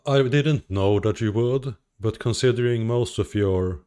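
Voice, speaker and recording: A man's voice: on the low side, a little slow, mellow, smooth. A man with a Swedish accent, interviewing a player on a stream with friendly, teasing questions.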